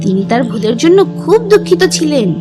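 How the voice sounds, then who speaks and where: Female children's storyteller, very high pitch, animated intonation, teacherly scripted narration. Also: fairly quick. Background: music.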